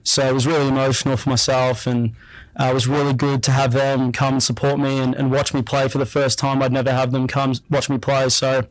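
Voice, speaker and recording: Young Australian guy as a relaxed radio guest, average pitch, close and dry. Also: a little fast, no echo, rough recording. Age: young adult. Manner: relaxed, easygoing, repeats himself with frequent fillers. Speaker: guest athlete. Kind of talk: casual radio interview.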